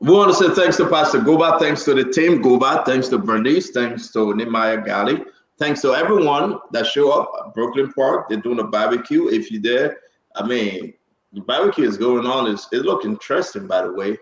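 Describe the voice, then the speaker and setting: A man's voice: medium pitch, lively intonation, moderate pace, dull audio. Echoey man hosting live talk. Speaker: talk show host. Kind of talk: casual live talk show chat. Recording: roomy, echoing sound.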